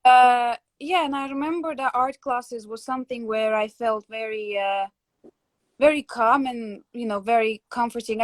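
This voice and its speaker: A woman's voice: a little high, no room sound. Reflective young woman, dry close sound. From Latvia. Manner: reflective, many fillers and restarts. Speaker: host. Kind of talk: casual interview chat.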